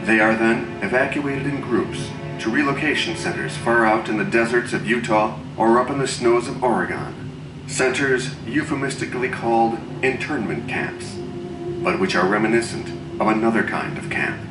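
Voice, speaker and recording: A man's voice: mid-range voice, echoey room. A man giving formal, scripted documentary narration. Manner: formal, measured. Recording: distant TV audio with music behind.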